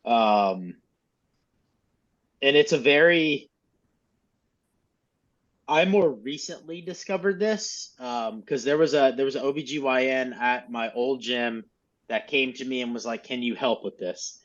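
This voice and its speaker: A man's voice: mid-range voice, unhurried, pauses often, a bit bright. A man coaching a group in casual, informal, mildly profane instructional talk over a lo-fi video call. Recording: dull, lo-fi video call audio.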